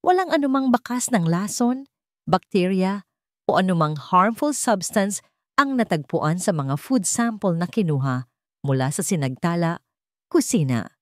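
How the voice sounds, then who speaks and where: A woman's voice: on the low side, animated, unhurried, no echo. Lady playing a composed bigwig reading a formal statement in a storybook, emphatic, dry sound. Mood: composed certainty. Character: composed, influential adult making factual press statement. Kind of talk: formal public statement within audio storybook.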